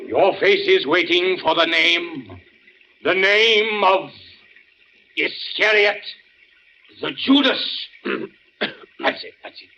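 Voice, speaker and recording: An actor playing a theatrical, grieving old stage actor in 1940s radio style, declamatory, with dramatic pauses. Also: male voice, on the high side, pushed and bright, heavily acted. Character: theatrical grieving old actor father, half-mad, accusing. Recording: muffled, noisy old radio recording.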